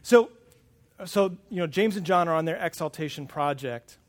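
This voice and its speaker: A man's voice: on the high side, lively intonation, normal speed. A man preaching a sermon on a lapel mic to a congregation. He is earnest and often repeats words as he starts a thought. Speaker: pastor. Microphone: wireless lapel mic pack.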